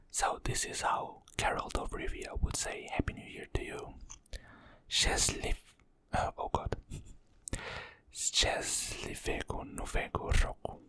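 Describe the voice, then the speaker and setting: Slow soft ASMR whisperer from Portugal. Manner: soft whispering, slowly repeating each phrase. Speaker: ASMR creator.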